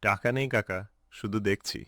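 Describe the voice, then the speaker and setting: A man's voice: on the low side, steady tone, moderate pace, a bit bright, no room sound, studio quality, natural voice. A man voicing a poor, hardworking village boy in a cartoon story, earnest and respectful in manner. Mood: humble, hopeful.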